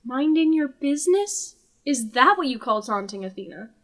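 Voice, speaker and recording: A woman's voice: high-pitched, big pitch swings, moderate pace. A woman playing a bored, sardonic god who hosts a talk show in a comedic audio drama, with a sarcastic, theatrical, teasing delivery.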